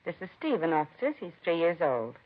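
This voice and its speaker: A woman's voice: fairly low pitch, sing-song, brisk. An actress playing an earnest, indignant woman who runs a children's home in a 1950s radio drama, plain-spoken and heartfelt. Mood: gentle, earnest. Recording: dull vintage radio recording.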